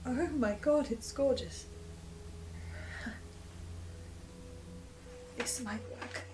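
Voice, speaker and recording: A high-pitched, haunted archivist, acted by a woman, confesses hungrily to the listener in a horror drama. The delivery is theatrical and halting, with soft music underneath. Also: close to an everyday voice, low-quality recording. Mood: hushed, tempted. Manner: theatrical, halting, swinging from whispers to outbursts. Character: tormented, obsessive, hungry archivist confessing to the audience. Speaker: host of a horror listen-along series. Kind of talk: dramatic in-character monologue to the listener.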